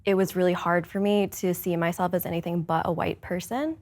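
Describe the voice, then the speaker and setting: A woman's voice: fairly low pitch, little pitch movement, fairly quick, darkish tone. A woman born in South Korea sharing her story earnestly in a church panel. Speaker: discussion panelist. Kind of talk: candid personal sharing.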